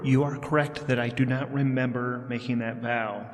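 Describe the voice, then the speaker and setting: A man's voice: mid-range voice, level tone, normal speed, long reverb tail, soft background music, natural voice. A man playing an earnest, forgetful bounty hunter hero in a tabletop game audio drama, with a hesitant manner.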